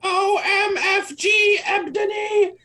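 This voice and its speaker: A woman voicing a worried groundskeeper, shouting in drawn-out, exaggerated alarm in an amateur fan fiction reading. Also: very high-pitched, heavily acted. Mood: frantic shouting. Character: worried giant groundskeeper shouting frantically on a broom. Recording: noisy mic with clicks.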